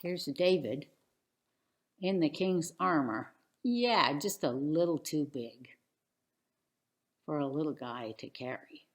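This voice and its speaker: A woman narrates a bedtime story for children with theatrical expression. Her voice sits a little low and she takes her time, very unhurried. The recording is roomy and echoing. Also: expressive.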